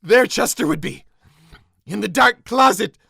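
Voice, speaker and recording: A woman's voice: medium pitch, animated, a little slow, creaky, pushed and bright, breathy edge, dry recording, studio quality, heavily acted. A woman playing an unhinged, hot-tempered mad scientist in a melodramatic, shrieking comedy radio style. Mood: traumatized, bitter. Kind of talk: over-the-top comedy radio acting.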